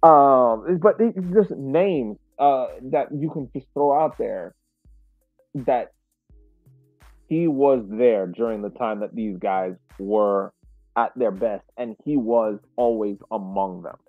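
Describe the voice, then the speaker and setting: A man co-hosting a casual sports podcast, speaking haltingly and searching for his words. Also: mid-range voice, no room sound, faint music behind. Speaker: podcast co-host. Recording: tinny, dry phone-like call audio.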